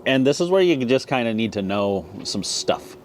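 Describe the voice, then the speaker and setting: A man's voice: mid-range voice, very animated, moderate pace. A man giving a casual, plain-spoken, step-by-step product tutorial as a video host. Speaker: ham radio video host. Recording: clear background noise.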